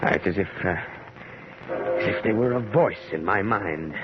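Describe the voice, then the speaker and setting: An actor playing an earnest, dazed young man in an old-time radio drama, stammering and halting as he speaks. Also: low voice, music in the background. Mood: giddy, smitten. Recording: noisy, muffled vintage radio recording.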